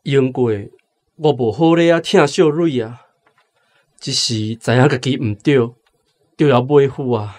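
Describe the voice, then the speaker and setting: An actor with a Taiwanese accent playing a contrite, apologetic widower in a radio drama. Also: male voice, mid-range voice, measured pace, long pauses, a little mellow, close to an everyday voice. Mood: remorseful. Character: contrite widowed husband pleading with in-laws.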